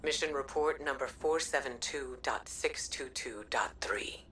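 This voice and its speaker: A woman voicing a composed, stern commander delivering a formal mission report in a video game, measured and authoritative. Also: extremely low pitch, fairly bright tone, recorded from a distance, slight background noise.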